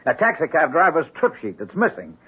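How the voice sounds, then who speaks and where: Insistent police lieutenant, animated American voice. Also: male voice, on the high side, brisk, husky, full character voice. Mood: grim. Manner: clipped, pressing, interrogating. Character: insistent, hard-nosed male American police lieutenant, curt and probing. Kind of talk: 1970s radio drama acting. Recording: tinny, hissy 1970s radio broadcast.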